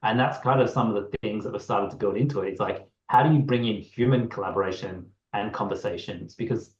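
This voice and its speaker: A man's voice: lowish voice, brisk, a little mellow. A man co-founder of a creative AI tool, explaining in a loose, rambling interview chat over a video call. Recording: roomy, dull lo-fi call audio.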